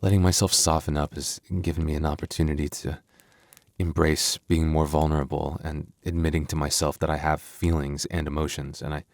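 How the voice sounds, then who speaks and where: Male actor as young werewolf boyfriend for intimate audio roleplay: low-pitched, dry close sound, teasing yet devoted and soft-hearted. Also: no echo. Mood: tender, vulnerable. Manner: conversational, teasing, halting when flustered.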